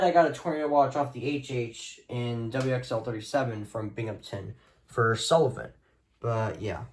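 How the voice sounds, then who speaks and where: A man's voice: mid-range voice, darkish tone. Casual, rambling man hosting a hobby video. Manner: informal, rambling, offhand. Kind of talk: casual showcase of a radio collection, unscripted.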